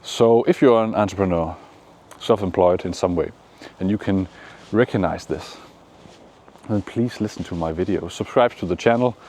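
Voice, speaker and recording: A man from Denmark with a Danish accent, giving calm, encouraging motivational talk outdoors in the shade, with frequent pauses. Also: low-pitched. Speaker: manifestation coach. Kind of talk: casual motivational vlog talk.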